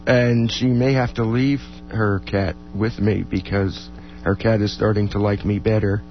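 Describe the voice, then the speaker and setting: A man co-hosting a music radio show in casual, unscripted banter, halting and repeating his words as he goes. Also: on the low side, little pitch movement, lo-fi sound. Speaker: radio co-host who plays music.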